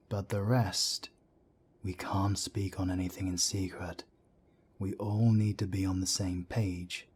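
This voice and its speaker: A man playing a wry, world-weary werewolf council elder in an audio roleplay drama, speaking in a measured, deliberate way. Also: a little mellow, heavily acted. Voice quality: deep, husky.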